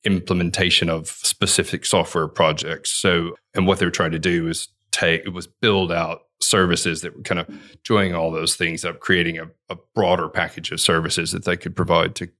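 A man's voice: low voice, flat delivery, normal speed, pushed and bright. A man being interviewed about his consulting career, answering in a reflective, rambling way with many restarts. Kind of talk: conversational podcast interview.

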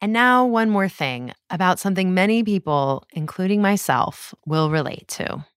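A woman's voice: medium pitch, animated, normal speed, clear, resonant tone, no echo, clean studio sound. A woman hosting, reading scripted narration for a news podcast. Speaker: podcast host.

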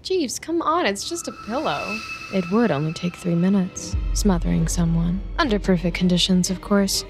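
A woman's voice: mid-range voice, expressive, normal speed, lightly acted. An actress playing an anxious, twitchy young office worker in an audio drama, muttering and rambling nervously. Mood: uneasy muttering. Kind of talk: naturalistic audio drama acting. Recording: music underneath.

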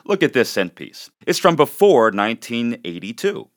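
A man's voice: medium pitch, very animated, normal speed, no room sound, clean studio sound. An actor playing a cheery, corny know-it-all father in a parody old-time radio advert, folksy and instructive.

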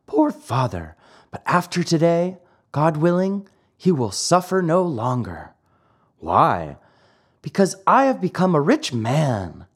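A man doing an eager, high-voiced puppet boy. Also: takes it slowly, slightly rough, heavily acted. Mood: earnest. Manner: excited, pleading, high-pitched.

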